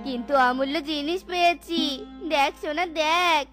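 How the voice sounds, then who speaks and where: Brief: cartoon tigress widow and mother, very high, tender voice, played by a woman, music behind. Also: normal speed, natural voice. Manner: wailing, tender to her child. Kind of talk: cartoon voice acting. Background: loud cartoon music.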